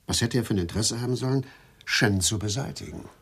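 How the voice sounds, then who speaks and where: An actor playing a sober, cautioning chief prosecutor in a hard-boiled radio drama, speaking in a measured, official manner. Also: male voice, on the low side, fairly bright tone, breathy edge. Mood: serious, cautioning.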